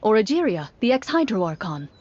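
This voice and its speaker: A woman's voice: mid-range voice, big pitch swings, fairly quick, darkish tone, slight background noise, close to an everyday voice. A woman voicing a composed, serious female monster hunter in a video game, telling lore in a formal, matter-of-fact way. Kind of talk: video game voice acting, measured narration. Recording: muffled game audio over music.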